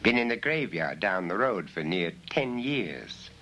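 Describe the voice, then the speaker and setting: A man's voice: low-pitched, sing-song, a little slow, sharp tone, a little hiss or hum, full character voice. A man voicing a blunt, decrepit old country woman with folksy rustic speech in a ghost story. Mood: blunt, matter-of-fact. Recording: dull, muffled lo-fi recording.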